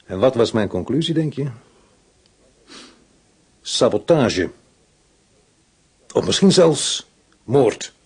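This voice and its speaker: An actor playing a shrewd, calm male police inspector who questions in a probing, measured, dry way, in a radio play. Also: mid-range voice, breathy edge, heavily acted.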